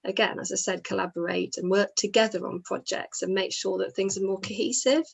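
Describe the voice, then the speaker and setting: A woman giving voice to a deaf interviewee, speaking in reflective, measured phrases. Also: mid-range voice, fairly even intonation, vocal fry, husky.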